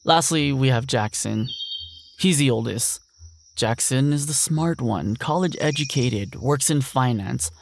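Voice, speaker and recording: A man playing a gravelly, wistful young rancher. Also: mid-range voice, unhurried, a little hiss or hum. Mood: resigned. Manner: plain, reflective monologue. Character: earnest, lonely young ranch heir, weary and wistful. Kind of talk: audio drama monologue.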